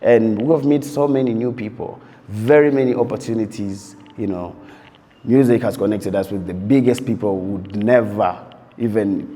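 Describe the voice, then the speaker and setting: A man's voice: on the low side, dark tone. A man from Uganda, a DJ and producer reflecting in an interview, telling his story loosely and leaning on "you know" throughout. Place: interview set while on tour abroad. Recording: long reverb.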